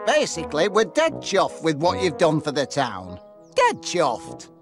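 A man's voice: on the high side, wildly swooping pitch, measured pace, faint music behind, heavily acted. A man voicing a stolid, officious village policeman in a cartoon game, ponderous and formal, with a British accent. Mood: formal, pleased. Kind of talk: animated comedy game cutscene acting.

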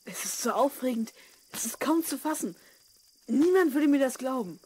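A woman playing a curious, excitable twelve-year-old boy in a children's audio drama, wide-eyed and eager. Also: high-pitched, moderate pace, slightly rough. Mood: amazed. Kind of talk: 1970s German children's audio drama acting.

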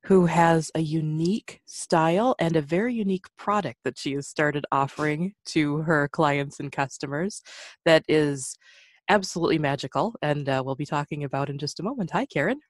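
A woman's voice: sits low, normal speed, no echo, studio quality. A woman hosting a podcast, a friendly and encouraging interviewer. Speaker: podcast host.

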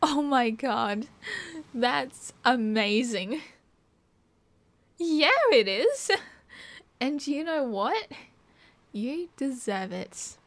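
A woman's voice: high voice, expressive, drawn-out words, pauses often, dry recording, heavily acted. A young woman with an Australian accent playing a cheerful, teasing partygoer in a casual ASMR roleplay, chatting in playful slang. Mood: delighted, teasing. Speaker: roleplay voice actor. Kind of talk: casual ASMR roleplay, one side of a chat.

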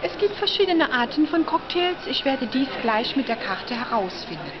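A woman's voice: sits high, very animated, normal speed. A woman in her thirties presenting a hotel tour to a handheld camera in a busy restaurant and bar, in a polite, showy presenter tone. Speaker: vlogger giving a hotel tour. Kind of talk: chatty presenter narration to camera. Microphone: handheld camera mic. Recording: dull camera audio, loud crowd. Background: loud crowd chatter.

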